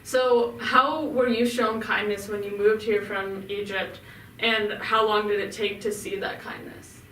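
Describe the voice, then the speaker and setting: Chatty woman podcast host, mid-pitched, in an echoey room, away from the mic. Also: flat delivery, a little slow, fairly bright tone, recorded from a distance, slight background noise. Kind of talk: podcast interviewing.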